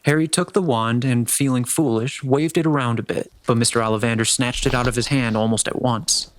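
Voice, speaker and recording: Male narrator, medium pitch, scripted storytelling, audiobook reading. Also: fairly even intonation, a little fast.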